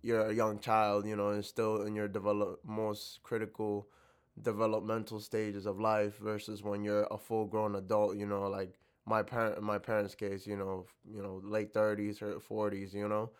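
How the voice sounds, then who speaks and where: Low, smooth-voiced young man from the Dominican Republic. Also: monotone, normal speed. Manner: reflective, many fillers and restarts. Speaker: interview guest. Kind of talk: casual interview answers.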